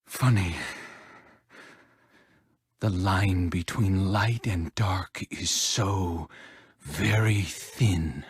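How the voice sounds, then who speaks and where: An actor playing a sinister, brooding, quietly amused male villain in a video game, with a low husky voice and menacing drawl. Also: dry recording, clean studio sound, full character voice. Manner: slow, menacing, drawn-out.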